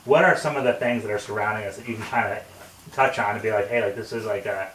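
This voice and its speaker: A man's voice: on the low side, fairly even intonation, quick pace, a bit bright. A man hosting a casual conversational interview with firefighters in a workshop. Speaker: podcast host interviewing firefighters. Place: indoor workshop. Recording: echoey room, distant mic, background noise.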